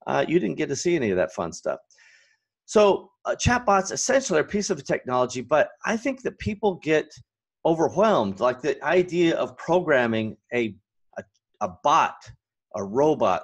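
A man in his forties hosting a solo business podcast from a home office, his voice hoarse and raspy, talking with energy and going off on rants. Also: on the high side, normal speed. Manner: energetic, ranting, fillers and restarts. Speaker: podcast host.

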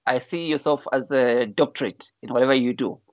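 A man's voice: medium pitch, brisk, no echo. A Zambian-born man in his forties tells his own life story as an interview guest. He talks over a video call from a room at home. The sound is dry and muffled, a bit lo-fi. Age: 40s. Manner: earnest storyteller, repeats words when starting a thought. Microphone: video call, wired earphones. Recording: dull lo-fi video call audio.

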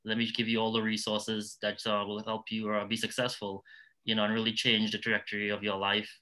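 A man's voice: fairly low pitch, monotone, brisk, bright, forceful tone. A middle-aged man with a Trinidadian accent, speaking as a nonprofit founder on a discussion panel. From Trinidad and Tobago. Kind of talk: panel discussion talk, personal stories.

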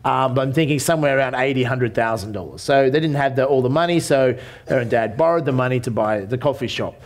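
A man's voice: mid-range voice, quick pace. An Australian man in his forties gives a business seminar from a lectern in a hotel conference room. He talks in a loose, conversational way, full of fillers and restarts. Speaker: seminar presenter.

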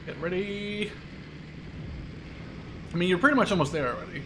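Excitable, higher-voiced man barking game callouts. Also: moderate pace, background noise. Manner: excitable, sweary, bossy, repeats callouts in bursts. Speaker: gamer leading his squad. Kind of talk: casual gaming chat with shouted callouts.

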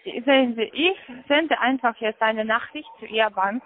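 High-pitched woman on the phone. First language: not German. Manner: insistent, halting non-native phrasing. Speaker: call-centre phone scammer. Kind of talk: pushy scripted phone instructions. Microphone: telephone call. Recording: dull lo-fi phone line.

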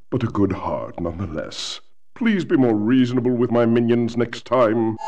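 An actor playing a whiny, pompous mad scientist villain in a cartoonish video game, theatrical and self-pitying. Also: male voice, mid-range voice, a little mellow, recorded from a distance, muffled recording, natural voice. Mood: mock regretful. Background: game music.

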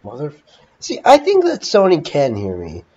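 A man's voice: fairly high pitch, wildly swooping pitch, moderate pace, a little mellow, breathy edge, muffled recording. A young man chatting casually and swearing as he addresses viewers during prank calls. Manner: informal, sweary. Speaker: prank caller, video host. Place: at a home computer. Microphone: computer microphone. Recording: noisy home desktop mic with clicks.

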